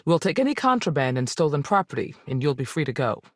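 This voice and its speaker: Tough, dry-voiced space ranger, lively pitch. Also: female voice, quick pace, no room sound. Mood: firm. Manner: firm, plainspoken, dry. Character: tough, no-nonsense female space ranger, protective mother. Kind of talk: video game dialogue acting.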